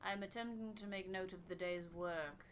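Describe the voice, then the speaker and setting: A woman's voice: mid-range voice, fairly even intonation, moderate pace, slight background noise, natural voice. A woman voicing a prim, irritable, duty-bound expedition member in a game, formal and curt. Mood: prim, annoyed.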